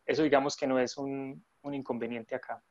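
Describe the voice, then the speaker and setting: A man's voice: mid-range voice, level tone, fairly quick, a little mellow, dry recording, muffled recording. A man from Colombia, a nurse in his thirties, chatting in a remote interview with many fillers and restarts.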